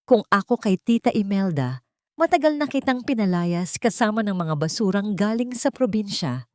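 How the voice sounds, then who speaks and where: A woman's voice: medium pitch, expressive, moderate pace, darkish tone, dry recording, studio quality, pitched above the performer's own voice, lightly acted. A woman voicing a haughty, sarcastic rich young woman who sneers and taunts, in an audio drama. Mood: contemptuous. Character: haughty, sarcastic wealthy young woman, cruel in-law cousin.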